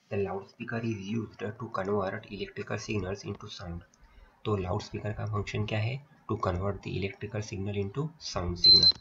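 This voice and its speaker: Male lecturer, Indian accent, low and unhurried, explains step by step, slightly noisy lo-fi audio. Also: creaky, sharp tone. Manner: repeats and explains points step by step. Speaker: polytechnic lecturer. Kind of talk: slide lecture, reading aloud and explaining. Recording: dull lo-fi audio, faint noise, clicks.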